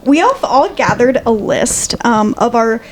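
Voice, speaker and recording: High-voiced woman, unhurried casual chat. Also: very animated, a little hiss or hum, low-quality recording. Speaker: podcast co-host.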